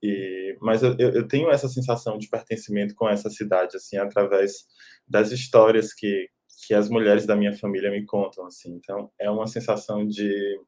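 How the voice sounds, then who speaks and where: A man's voice: lowish voice, fairly even intonation, moderate pace, a little mellow. A man from Salvador, a film director speaking reflectively and hesitantly on an online panel over a video call. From Brazil, Salvador. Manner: reflective, hesitant, many fillers.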